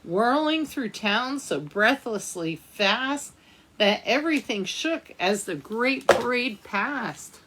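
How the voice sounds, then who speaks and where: A woman's voice: a little high, animated, takes it slowly, fairly bright tone, a little hiss or hum, low-quality recording. A woman reading a picture book aloud for children's storytime, speaking to young listeners as she goes. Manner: addresses young listeners with questions and asides. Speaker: librarian storytime reader.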